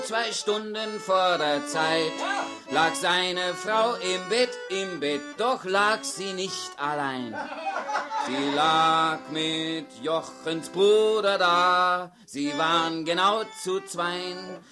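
A weary drinking robber who makes his living singing in taverns. He delivers his ballads very slowly, with prominent accordion and guitar behind him, in radio-play style. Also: high-pitched. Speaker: tavern musician. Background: accordion and guitar music.

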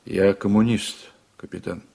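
Low-voiced, shrewd old-hand sailor. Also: male voice, steady tone, creaky, warm tone. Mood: confiding, earnest. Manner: deliberate, confiding. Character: seasoned, shrewd communist sailor, loyal, frank and wry. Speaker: actor in a radio play. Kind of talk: 1980s Soviet radio drama acting.

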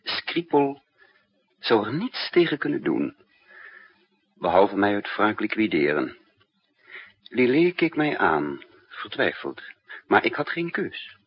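Deep husky haunted spy narrator. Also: male voice, very animated, takes it slowly, long pauses, full character voice. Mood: tense, dramatic. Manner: dramatic first-person storytelling. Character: weary male spy narrating his desperate escape story. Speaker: narrator and lead character. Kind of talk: 1960s radio drama acting. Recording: dull muffled 1960s radio recording.

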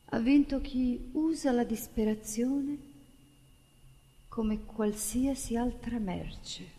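Female stage actress, fairly high voice, declamatory monologue, very varied intonation, long reverb. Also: dark tone. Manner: declamatory, with emphatic pauses. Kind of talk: theatrical monologue recitation.

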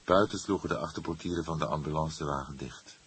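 A man narrating a crime story as an audiobook, reading in a measured, literary manner. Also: rumbling low, a bit bright, husky.

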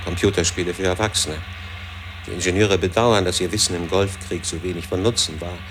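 A man with a very deep voice reads scripted radio narration. Clear vehicle-like noise sits behind his words. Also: fairly even intonation, a little fast.

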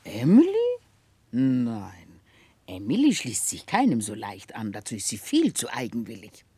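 An actress playing a warm-hearted, motherly middle-aged housekeeper in a 1960s radio play, effusive and chatty. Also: a bass voice, huge pitch swings, measured pace, husky, dry recording, full character voice. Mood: fond, confiding. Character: warm-hearted, emotional older housekeeper, fussing motherly wife. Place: dry radio studio.

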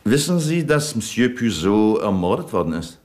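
A dry, probing police inspector. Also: male voice, fairly low pitch, very animated, normal speed, a little mellow. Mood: interrogating. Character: cool, probing middle-aged male police inspector. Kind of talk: 1970s radio crime drama acting.

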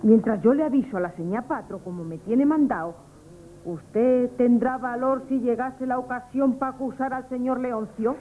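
A woman's voice: a little high, moderate pace, narrow, tinny audio, slight background noise, lightly acted. An actress with a Spanish accent playing a feisty, conspiratorial young working-class woman in a comic radio play. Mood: urgent, practical. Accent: Spanish, from Spain. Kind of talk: 1970s Spanish radio comedy theatre acting.

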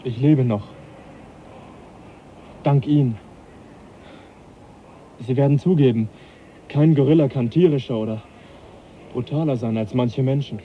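An actor playing a polite, earnest naturalist in a radio drama, reasoning in a measured, dryly ironic way. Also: male voice, medium pitch, phone-quality sound, slight background noise, natural voice. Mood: earnest, insistent. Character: polite, earnest, quietly provocative young naturalist.

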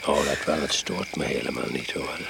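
An actor in a radio play playing an overbearing, eccentric older husband who is pushily hospitable and faintly menacing. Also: male voice, very deep voice, normal speed, vocal fry, full character voice. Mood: capricious, insistent. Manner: domineering, capricious, interrupting. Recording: noisy radio play recording.

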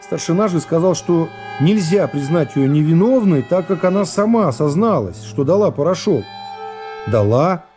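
A man's voice: a little high, animated, normal speed, hardly any pauses, mellow, faint music behind. A man narrating classic literary prose for radio, giving it a scripted, dramatic reading.

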